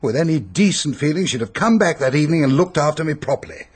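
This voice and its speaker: An actor in a radio drama playing a pompous, peevish, self-pitying upper-class English hypochondriac who blusters and complains. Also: male voice, fairly high pitch, lively intonation, fast talker, breathy edge. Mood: indignant, self-pitying.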